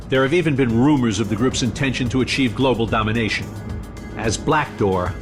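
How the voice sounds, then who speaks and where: An actor playing a suave, fanatical male scientist villain in an audio drama, explaining things in a deliberate, patronising, theatrical manner. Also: lowish voice, lightly acted. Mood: condescending.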